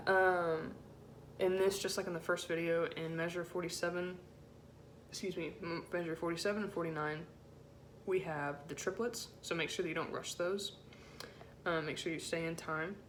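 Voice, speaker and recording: A woman with a low-set voice giving an informal music rehearsal lesson as a choir section leader, in a casual, encouraging way with hesitant phrasing. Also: steady tone, normal speed, darkish tone, a little hiss or hum. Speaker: choir section leader teaching alto parts.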